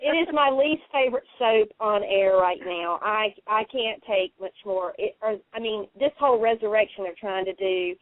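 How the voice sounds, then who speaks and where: Woman, mid-pitched, effusive, emphatic casual radio chat, dry telephone sound. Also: normal speed, few pauses, no room sound. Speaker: radio show panelist. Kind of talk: casual chat about TV soaps. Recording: dry, tinny phone line.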